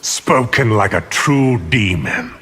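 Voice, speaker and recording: An actor playing an arrogant, scheming evil sorcerer in a video game, with a haughty, menacing, theatrical delivery. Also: male voice, mid-range voice, animated, takes it slowly. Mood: cold menace. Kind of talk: video game villain dialogue. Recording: echoing hall reverb over music.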